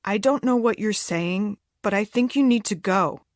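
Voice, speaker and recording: A woman's voice: medium pitch, moderate pace, no echo, clean studio sound, close to an everyday voice. A woman voicing a guarded, defensive young mother in a dramatic audiobook reading.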